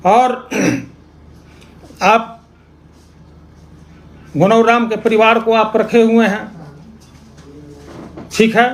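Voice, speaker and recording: Male political orator, high voice, accusatory and repetitive for emphasis, some background ambience noise. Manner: repeats phrases for emphasis; accusatory, rhetorical questioning. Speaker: opposition party politician. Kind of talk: political speech.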